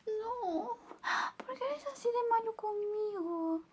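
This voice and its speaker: Woman acting out high, whispery, flirty best friend. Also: lively intonation, slow, slight background noise, full character voice. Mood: pouty, pleading. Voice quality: soft, breathy whispering mixed with soft voice. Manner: coaxing, intimate, lingering. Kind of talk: Spanish ASMR roleplay, whispered personal attention.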